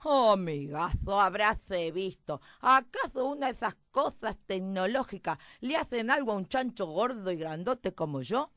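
A woman's voice: medium pitch, animated, normal speed, hardly any pauses, a bit bright, no echo, studio quality, pitched above the performer's own voice, close to an everyday voice. A woman voicing a big, fat, scornful male pig with a mocking, drawling rustic manner in a storybook tale. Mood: smug mockery.

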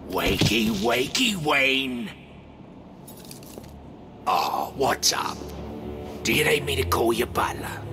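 An actor playing a vengeful middle-aged British crime boss, mocking and theatrical, in a video game. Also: male voice, medium pitch, animated, slow, long pauses. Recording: loud game music behind the voice.

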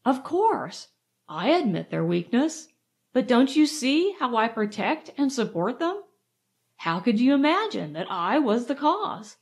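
A woman's voice: a little high, very animated, measured pace. A woman reading aloud, voicing a proud, fussy mother who insists she is strong and in charge of her household. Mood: indignant. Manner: insistent, self-assured.

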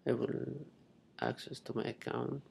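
Slow, very creaky Saudi-accented man. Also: mid-range voice, little pitch movement, a little mellow, slight background noise. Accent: Saudi Arabic. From Saudi Arabia. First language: Arabic. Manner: halting, step-by-step, simple phrasing. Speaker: international student giving a tutorial. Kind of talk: unscripted screen-recording walkthrough.

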